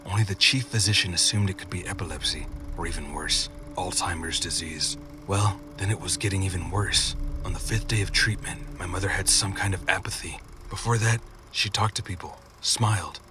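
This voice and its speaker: Deep-voiced male horror story narrator. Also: normal speed, few pauses, far from the mic, noisy background. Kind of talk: scripted first-person scary story narration.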